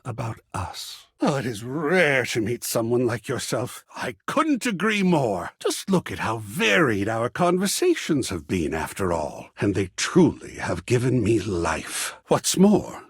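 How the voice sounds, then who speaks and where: An actor playing an effusive, romantic living artwork in a video game, with florid, rhapsodic, theatrical delivery. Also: male voice, mid-range voice, big pitch swings, breathy edge, no room sound, studio quality. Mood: lovestruck, earnest. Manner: florid, rhapsodic, savouring pauses, swooping dramatically. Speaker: voiced dateable character in a dating simulator game.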